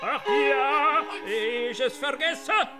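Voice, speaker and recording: Very high, theatrical fairy-tale singer. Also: male voice. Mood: theatrical, exclamatory. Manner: drawn-out, exclamatory. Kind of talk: operatic, sung-spoken musical radio play. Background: loud music with bowed strings.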